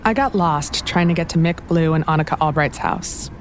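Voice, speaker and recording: A woman with a low-pitched voice narrates her podcast in a conversational, lightly wry way. Her delivery is scripted but relaxed, and she sounds like she is telling a story. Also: normal speed, slight background noise. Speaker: podcast host. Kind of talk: scripted narration mixed with interviewing.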